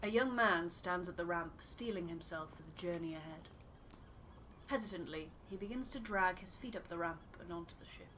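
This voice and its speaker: A woman with a slightly low voice plays through a narrative game, reading its text aloud with some drama and dropping into casual asides. There is clear noise behind her. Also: moderate pace, a bit bright.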